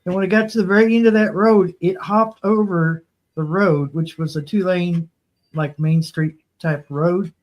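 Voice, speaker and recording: A man's voice: high voice, fairly even intonation, moderate pace, dull audio. A man from Ohio telling stories casually, in a rambling, folksy way, circling back over his phrases. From Ohio, United States. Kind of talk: casual anecdotal storytelling.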